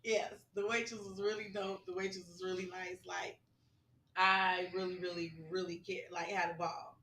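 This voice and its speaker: A woman co-hosting a podcast in casual, slangy chat, her voice hoarse. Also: on the low side, level tone, normal speed, bright, forceful tone, a little hiss or hum. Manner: chatty, slangy, laughing. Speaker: podcast co-host. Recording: roomy, distant mic.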